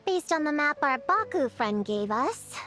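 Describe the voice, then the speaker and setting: A woman's voice: high voice, sing-song, unhurried, pushed and bright, heavily acted. A woman voicing a small, chirpy and eager girl companion in a video game, with music behind her. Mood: cheerful.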